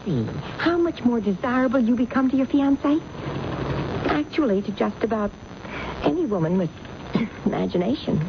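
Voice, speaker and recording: A woman's voice: fairly high pitch, animated, moderate pace, gravelly, pauses often. An actress playing a worldly, teasing and insistent woman who pushes an image on a man, in a 1970s radio drama. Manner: knowing, insistent. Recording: muffled, noisy old radio broadcast recording.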